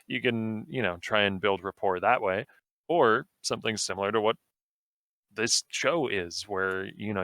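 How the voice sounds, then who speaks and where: A young man talking casually as a podcast guest, relaxed and rambling with lots of fillers. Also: on the low side, no echo. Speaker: guest streamer.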